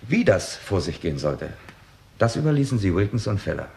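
Give the impression of a composed male detective in a sixties radio crime drama. His voice is fairly low, his formal and exact. He lays out a case methodically, pressing with rhetorical questions. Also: very animated, a little fast, gravelly, a little mellow, exaggerated voice. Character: confident male police detective laying out a case, probing and composed. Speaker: actor in a crime radio play. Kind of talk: 1960s German radio drama acting.

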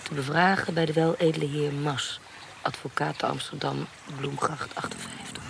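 Radio play role: commanding female schemer with a vengeful streak, lowish voice, clearly noisy recording. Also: steady tone, normal speed. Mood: brisk, self-assured. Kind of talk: Dutch radio drama acting. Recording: noisy radio drama with background ambience.

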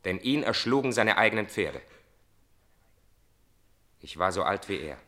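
An actor playing a grave, steadfast young stranger bearing a message in a classical radio tragedy, speaking in a solemn, insistent way. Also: male voice, fairly low pitch, lively intonation, measured pace, pushed and bright. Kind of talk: classical tragic radio play acting.

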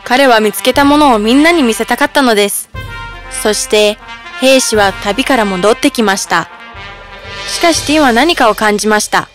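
A woman's voice: a little high, normal speed. A woman narrator reading a scripted children's fairy tale. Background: music.

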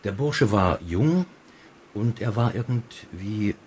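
An actor playing a reserved drugstore owner who answers questions tersely and deliberately in a radio crime drama. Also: male voice, on the low side, animated, a little mellow, a little hiss or hum. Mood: reserved, matter-of-fact. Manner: laconic, deliberate.

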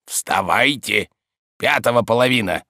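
A man's voice: medium pitch, animated, measured pace, sharp tone, dry recording, clean studio sound. A man voicing a grumbling, exasperated yet dutiful manservant in a literary story, nagging in a folksy, colloquial way. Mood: insistent.

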